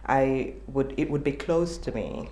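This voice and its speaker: A woman with a Jamaican accent answering interview questions in a measured, thoughtful way. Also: a bass voice, dark tone. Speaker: journalism student interviewee. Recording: echoey room with faint noise.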